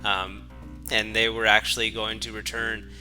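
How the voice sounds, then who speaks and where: A man's voice: on the low side, flat delivery, normal speed, sharp tone, faint music behind. A man doing a mocking dramatic reading with sweary, joking commentary and a mock-dramatic manner.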